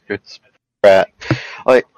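A man's voice: extremely slow, low-quality recording. A man co-hosting a podcast, bantering casually about entertainment. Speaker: podcast co-host.

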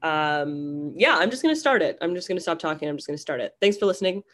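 A young woman with a low voice opens her podcast in a casual, rambling way. She sounds slightly nasal from a cold and talks with self-deprecating chattiness. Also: expressive, fast, a bit bright. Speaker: podcast host. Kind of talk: casual solo podcast intro.

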